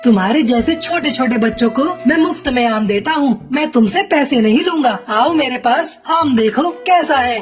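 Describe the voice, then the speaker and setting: A sly crow vendor in a children's cartoon, a magician in disguise voiced by a woman. The voice is high, coaxing and scheming, over light background music. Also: expressive, normal speed, few pauses. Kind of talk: Hindi cartoon story voice acting.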